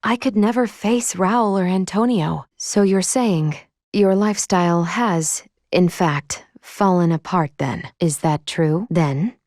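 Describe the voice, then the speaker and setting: A woman voicing a polite, analytical female android in a video game, with formal, measured diction and a caring, fussy manner. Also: on the low side, a little mellow, no echo, studio quality. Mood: concerned, fussy.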